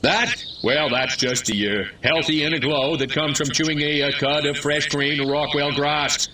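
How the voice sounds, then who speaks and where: An actor playing a blustering, evasive small-town mayor in a video game, with an American accent and folksy oratory. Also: male voice, fairly high pitch, fairly even intonation, normal speed, lightly acted. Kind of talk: video game cutscene acting. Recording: distant, noisy with ambience behind.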